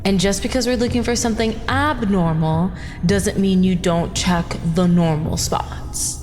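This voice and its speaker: A woman's voice: medium pitch, steady tone, a little slow, darkish tone, soft background music. A woman improvising casually in character as a player in a tabletop roleplaying game.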